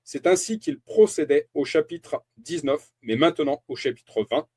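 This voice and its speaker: A man reading a Bible teaching aloud as a preacher, in a measured, deliberate way with emphatic stresses. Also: on the high side, expressive, darkish tone, slightly rough.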